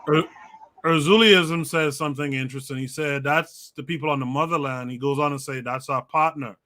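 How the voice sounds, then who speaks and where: A man hosting a podcast livestream, reading out viewer comments and calling on guests in a relaxed way. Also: fairly high pitch, fairly quick, a little hiss or hum. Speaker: podcast host. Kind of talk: moderating a livestream discussion.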